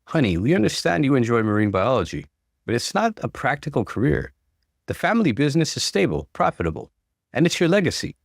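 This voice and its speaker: A male narrator acts out a worried mother who is gentle yet insistent. The delivery coaxes with lively rises in pitch, in a dry audio-story sound. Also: fairly quick, gravelly, no room sound.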